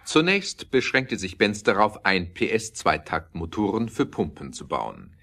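Male narrator, very deep register, scripted storytelling for audio drama. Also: big pitch swings, measured pace, gravelly, bright, forceful tone, a little hiss or hum.

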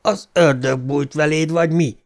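A gruff, domineering father's voice, pushed up in pitch and loud, barking orders and suspicious questions, as a male narrator acts him out. He sounds like a predator more than a comforter. Audiobook drama. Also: steady tone. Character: gruff, stern, menacing working-class father. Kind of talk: audiobook character voice.

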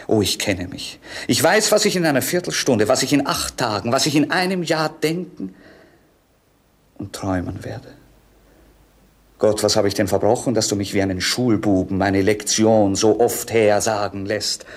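A man's voice: fairly low pitch, lively intonation, normal speed. A man playing a bored, brooding, self-mocking young prince in a 1950s radio play, declaiming lyrically and theatrically. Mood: brooding, world-weary.

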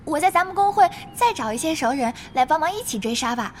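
A man's voice: extremely high pitch, lively intonation, fast talker, a little hiss or hum. An actor playing a whiny, vengeful young male guild member in a Chinese audio drama about an online game, eager and aggrieved.

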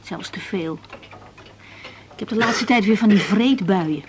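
A woman's voice: mid-range voice, a little slow, a little mellow, slight background noise, natural voice. An actress in her twenties with a Netherlands Dutch accent, playing a busy, patient young doctor in a naturalistic radio drama. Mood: candid, affectionate.

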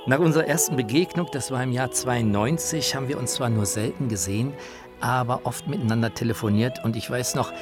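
A man's voice: mid-range voice, a little fast. A man talking about opera in an emphatic, reflective way, as the author and presenter of a radio feature. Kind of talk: personal spoken commentary on opera. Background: opera music.

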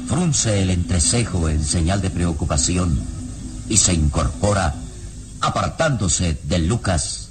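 A man's voice: sits low, lively intonation, slow. A man narrating a radio serial in a solemn, formal, dramatic literary style. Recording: radio drama with music behind.

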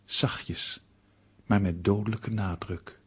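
An actor playing a grave, ominous narrator of a suspense radio play, husky and deliberate, with dramatic pauses. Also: male voice, fairly low pitch, steady tone, slight background noise.

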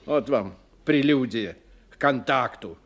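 Animated, wry radio-play engineer. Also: male voice, mid-range voice, slow pace. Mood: dramatic, grim. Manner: expressive, probing, wry. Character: worldly male engineer, inquisitive, wry, forthright. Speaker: radio drama actor. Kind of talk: 1990 Russian radio play dialogue.

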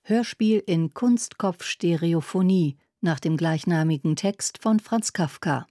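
A woman announces radio drama credits in a formal, scripted style. Her voice is soft-toned and slightly low, delivered slowly in a dry studio sound. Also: dry recording.

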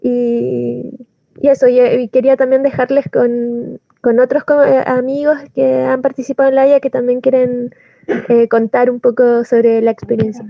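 A woman's voice: sits high, level tone, normal speed, vocal fry, no echo. A woman with a Chilean accent giving an informal testimony as a community organizer, hesitant and repeating words as she explains. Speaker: community soup kitchen organizer. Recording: slightly dull, dry lo-fi recording.

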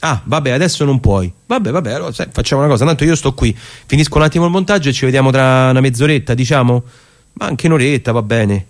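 A man's voice: mid-range voice, lightly acted. A man acting a stage performer, in a theatrical monologue that moves between casual talk and literary reading. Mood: flustered, casual. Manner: halting and repetitive on the phone, measured when reading. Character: chatty stage actor on a phone, rehearsing alone.

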